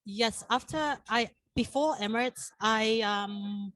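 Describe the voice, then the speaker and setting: A young woman from Samoa, a podcast guest, shy and hesitant in a soft voice. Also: fairly high pitch, slow pace, no echo. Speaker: guest, flight attendant.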